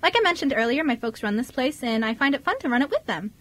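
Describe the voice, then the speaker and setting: Woman playing a high-voiced, bubbly teenage girl. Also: very animated, quick pace, a bit bright, exaggerated voice. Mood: bubbly, chatty. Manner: chatty, eager, giggly. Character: bubbly, friendly fifteen-year-old girl working in family cafe. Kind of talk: amateur radio drama dialogue.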